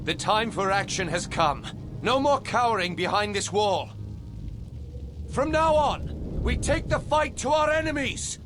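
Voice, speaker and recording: An actor playing a bold, defiant warrior prince in a fantasy video game, with a heroic, declamatory delivery. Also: male voice, high voice, animated, measured pace, over music. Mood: defiant, rousing.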